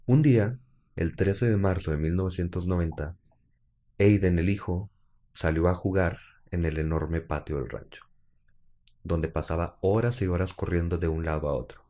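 A man's voice: deep voice, normal speed, dark tone. A man with a Mexican accent co-hosting a casual live stream, joking with his partner and then narrating a true-crime case. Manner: joking, laughs often, uses slang. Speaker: podcast co-host.

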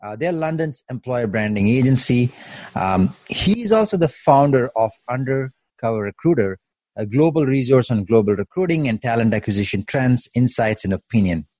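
A man hosting an interview podcast, polite and halting with many fillers. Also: medium pitch, lively intonation, dark tone, dry recording, poor audio. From Canada. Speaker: podcast host.

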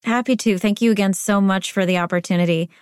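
A middle-aged woman from Spain with an American accent, answering interview questions as a corporate executive in a measured, deliberate way. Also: mid-range voice, fairly even intonation. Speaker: corporate chief integrity officer.

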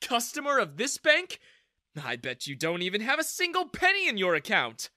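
A man acts out an arrogant bank manager in a narrated story. He is loud and scornful, with a high, forceful voice that swings widely in pitch. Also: wildly swooping pitch, moderate pace, dry recording, studio quality, full character voice. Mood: mocking. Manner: haughty, mocking.

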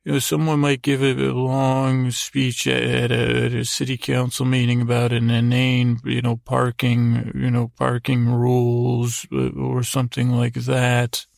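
A man hosting a sleep podcast with a meandering, halting bedtime monologue, repeating words and trailing off in a creaky, gravelly voice. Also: medium pitch, monotone, no room sound, studio quality. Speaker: podcast host. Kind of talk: rambling bedtime monologue to help listeners sleep.

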